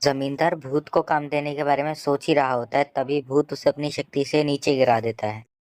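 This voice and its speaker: A woman's voice: extremely low pitch, fairly even intonation, moderate pace, a little mellow, dry recording. A woman narrating a scripted cartoon story.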